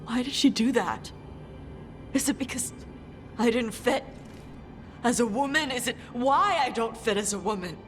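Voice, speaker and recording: A woman's voice: on the high side, lively intonation, unhurried, pauses often. A woman voicing an intense, driven and self-doubting young dragon slayer in a video game. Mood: anguished. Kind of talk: dramatic video game dialogue. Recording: long reverb, faint music.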